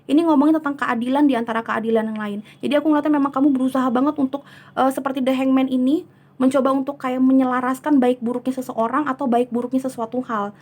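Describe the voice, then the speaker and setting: A hoarse woman giving a chatty tarot reading, soothing and unhurried, often slipping into English words. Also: high-pitched, fairly even intonation, a little mellow. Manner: soothing, chatty, many fillers. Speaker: tarot reader.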